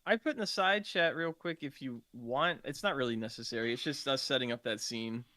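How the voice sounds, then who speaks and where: A man's voice: medium pitch, fairly quick, sharp tone, no echo. A man chatting casually as a guest on a streamers' podcast. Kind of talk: casual chat.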